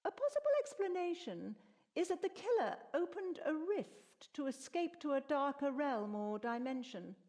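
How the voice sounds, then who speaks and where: High-pitched, lively, scholarly lady wizard. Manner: formal, precise, lecturing. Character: esteemed female wizard of Saradomin, proper and indignant scholar. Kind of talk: scripted fantasy video game dialogue.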